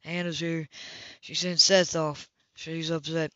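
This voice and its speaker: A woman's voice: deep voice, flat delivery, slow, no room sound. A woman reading a novel as a terse, protective husband. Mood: terse, grim. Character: gruff, protective husband, eldest-brother type. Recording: dull lo-fi home recording.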